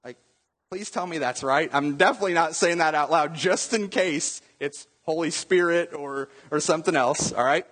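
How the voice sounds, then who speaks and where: Male pastor, slightly higher voice, a little husky, chatty teaching sermon, long hall reverb. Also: moderate pace, a bit bright. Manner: conversational teaching, quizzing the congregation with rhetorical questions.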